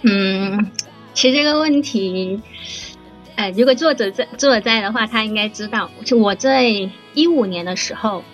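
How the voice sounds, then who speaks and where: A woman answering questions casually on a live voice chat, pausing between short, hesitant phrases. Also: mid-range voice, soft background music, poor audio. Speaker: drama production planner. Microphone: online voice chat.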